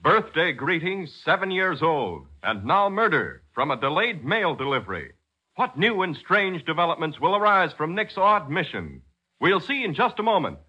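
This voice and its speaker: A man working as a 1940s radio announcer, reading a script with emphatic, salesmanlike delivery and a husky voice. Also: fairly high pitch, big pitch swings, normal speed, bright, forceful tone. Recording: dull, lo-fi 1940s radio recording.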